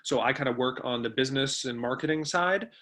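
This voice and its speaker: A man's voice: medium pitch, a little fast, sharp tone. A man giving an informal online presentation, explaining things in a conversational way as the webinar's presenter. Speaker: webinar presenter, company co-founder. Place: remote webinar.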